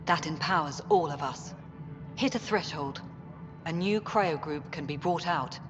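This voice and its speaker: A woman's voice: low-pitched, a little slow. A woman acting as a businesslike, slightly wry colonial affairs director in a video game. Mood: brisk briefing. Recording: dull game audio, long reverb, music.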